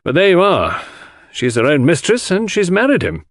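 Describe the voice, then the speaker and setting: A man voicing an exasperated, outspoken English country gentleman with slangy upper-class talk in an audiobook. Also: fairly high pitch, wildly swooping pitch, moderate pace, no room sound, clean studio sound, pitched above the performer's own voice. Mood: indignant. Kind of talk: audiobook character dialogue.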